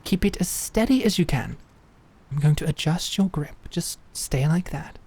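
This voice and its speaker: A woman voicing a stern, teasing female knight who teaches swordsmanship, with a dry, measured manner. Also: low-pitched, animated, dark tone, breathy edge, heavily acted. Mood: calm. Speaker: sword instructor. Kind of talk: fantasy audio drama acting.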